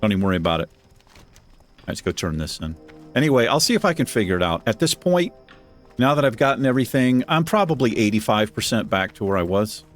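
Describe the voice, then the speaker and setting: A man with a deep voice hosting a gaming channel, chatting casually and self-deprecatingly while he plays. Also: very animated, fast talker, faint music behind. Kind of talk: casual gameplay commentary. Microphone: desk microphone.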